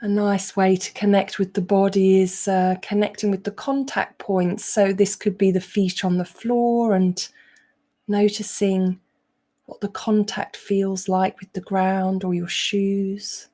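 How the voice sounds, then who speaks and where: A woman leading a guided meditation as a coach, speaking gently with frequent pauses as she gives instructions. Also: medium pitch, level tone.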